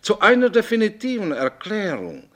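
A pedantic male scientist answers questions in a radio drama. The voice is a little high and rises and falls a lot. The delivery is careful and academic. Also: huge pitch swings, normal speed, full character voice. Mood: cautious lecturing. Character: male geology professor, cautious, pedantic radio expert. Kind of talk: interview answers in a radio play.

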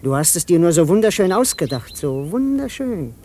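Sly, mocking gangster with swooping voice. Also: male voice, fairly high pitch, sing-song, darkish tone. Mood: sneering accusation. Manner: mocking, drawn-out mock affection, repetitive taunts. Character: sly menacing gangster, mockingly sweet to a frightened accomplice. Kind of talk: children's radio play acting. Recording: studio radio play.

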